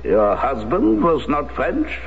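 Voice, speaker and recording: An aging ex-SS colonel, lively and cold. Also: male voice, mid-range voice. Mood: coolly polite. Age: older. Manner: measured, with hesitant pauses. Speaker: actor in a radio drama. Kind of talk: 1970s radio drama acting. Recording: dull old radio recording, faint hiss.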